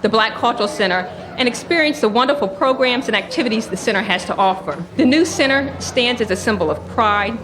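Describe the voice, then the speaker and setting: Woman, slightly high voice, prepared remarks, faint ambience behind. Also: expressive, fairly quick, noisy background.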